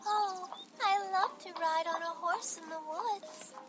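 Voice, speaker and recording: A woman's voice: extremely high pitch, fairly even intonation, slow, heavy background noise. A woman playing a sweet, kind, cheerful young princess in a children's cartoon story, girlish and polite.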